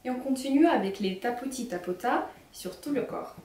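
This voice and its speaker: A young woman with a Ukrainian accent leading a children's singing workshop, speaking slowly and clearly as she gives instructions. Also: high-pitched, expressive, a little mellow. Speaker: singing teacher. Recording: echoey room, mic at a distance.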